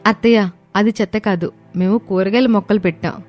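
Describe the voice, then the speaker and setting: A woman's voice: medium pitch, fairly even intonation, a little fast, faint music behind, natural voice. A woman voicing an earnest, eager young daughter-in-law in a dramatized story. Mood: pleading.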